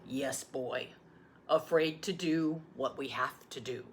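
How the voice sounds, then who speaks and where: A woman voicing a gentle old grandfather who tells a tale slowly, gravely and softly in a storybook read-aloud. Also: rumbling low, pitched below the performer's own voice. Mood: reassuring. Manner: slow, grave, hushed storytelling.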